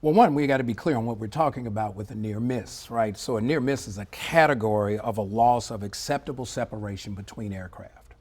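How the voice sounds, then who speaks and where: A middle-aged man speaking as an expert interview guest, explaining in a measured, emphatic way and often checking in with a short tag question. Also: on the low side, a little mellow. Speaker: former air traffic controller, interview guest. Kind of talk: live TV news interview, explanatory commentary.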